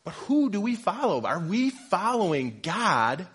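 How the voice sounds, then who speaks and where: Male lay preacher, husky and a bit sniffly from a cold, chatty and self-deprecating in the pulpit. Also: sits high, big pitch swings, a little slow, fairly bright tone. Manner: conversational, jokes with congregation, self-deprecating. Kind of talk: informal sermon. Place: church.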